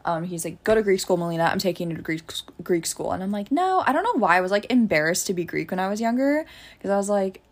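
A woman's voice: medium pitch, very animated, fast talker. Young american woman just chatting, super casual, says like a lot. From United States. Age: young adult. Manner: informal, peppered with "like". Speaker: podcast co-host. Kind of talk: casual chat.

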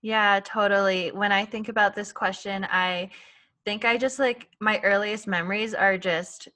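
A woman from Canada co-hosting a podcast, with a bright, forward tone. She speaks earnestly and thoughtfully in relaxed conversation, often saying "like" as she goes. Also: medium pitch, a little slow. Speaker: podcast co-host. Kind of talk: casual conversation.

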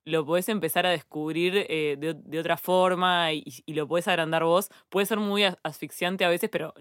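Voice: medium pitch, steady tone, normal speed, fairly bright tone, smooth, no echo, clean studio sound. A speaker with a Uruguayan accent, chatting casually and bluntly on a podcast panel. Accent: Uruguayan Spanish. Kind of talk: casual group chat.

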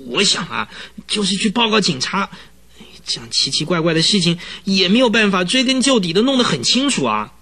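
An actor playing an agitated, talkative male client who recounts eerie events in a halting, rambling way in a radio drama, with a husky voice. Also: high voice, sing-song, bright, forceful tone, exaggerated voice. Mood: uneasy, puzzled. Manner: rambling, halting, full of interjections. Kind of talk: Chinese radio drama acting.

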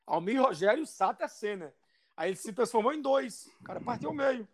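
A man with a high, very lively voice, joking and teasing his friends in a loose chat. He has a cold, and his pitch swings widely as he goes. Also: brisk. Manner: teasing, playful. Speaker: co-host.

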